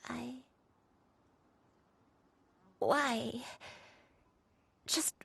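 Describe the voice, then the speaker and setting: Slow, breathy, frail old grandmother. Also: on the high side, fairly even intonation, no echo, exaggerated voice. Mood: tender, sorrowful. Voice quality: breathy, hushed. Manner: slow, halting, tender. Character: frail, gentle, sorrowful old grandmother with failing memory. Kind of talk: dramatic video game dialogue. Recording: music under the voice.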